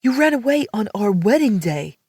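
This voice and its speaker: A woman's voice: lowish voice, normal speed, darkish tone, no room sound, studio quality, recorded from a distance, lightly acted. A woman voicing a brusque young man who is exasperated, with a curt, sarcastic way of talking.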